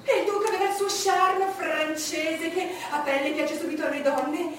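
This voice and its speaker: An actress playing an imperious, vain, solitary English queen in a declamatory stage monologue with dramatic pauses. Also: extremely high pitch, steady tone, recorded from a distance. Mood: proud, reflective. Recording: noisy, echoey stage recording from afar.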